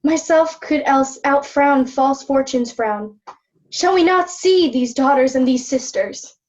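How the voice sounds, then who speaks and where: Lady doing sad dignified young princess, shakespeare, highish voice, dull cheap recording. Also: takes it slowly, darkish tone. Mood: sorrowful, steadfast. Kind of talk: Shakespearean verse read in reader's theatre. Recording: dull lo-fi sound.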